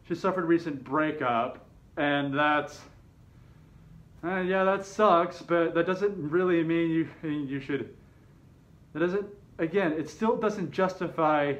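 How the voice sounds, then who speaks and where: A man telling a personal story in a casual, rambling way, hesitant and often correcting himself. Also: fairly high pitch. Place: open living room with kitchen at home. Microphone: camera mic a short way off, speaker inside a fursuit head. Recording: dull, slightly muffled home camera audio.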